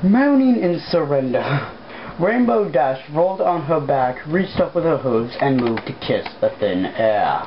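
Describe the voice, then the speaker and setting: A woman's voice: low-pitched, sing-song, a little slow, lo-fi sound, a little hiss or hum. A woman casually reading a story aloud as an amateur, informal and hesitating mid-sentence. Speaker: amateur fan fiction reader.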